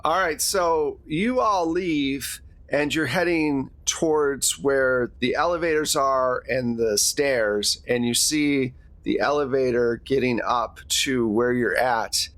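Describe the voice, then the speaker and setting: A man's voice: medium pitch, takes it slowly, fairly bright tone, no room sound. Male game master, mid-range voice, dry close sound, improvised narration with casual hosting. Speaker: game master and podcast host.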